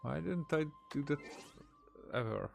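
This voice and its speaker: A man's voice: on the high side, animated, unhurried, gravelly, a little mellow, soft background music. A man streaming a game with casual live commentary, musing and hesitant as he thinks aloud.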